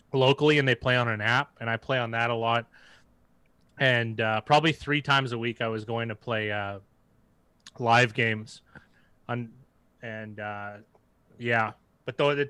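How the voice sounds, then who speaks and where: A man's voice: mid-range voice, fairly bright tone, smooth, no echo. A man co-hosting a casual sports watch-along, rambling loosely and conversationally as he talks. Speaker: sports livestream co-host.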